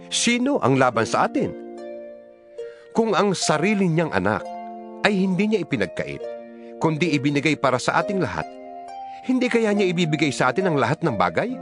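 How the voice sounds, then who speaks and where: A man narrates scripture in a dramatized style. His delivery is solemn and declamatory, with animated swings in pitch. Clear music plays along behind his voice. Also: dry recording.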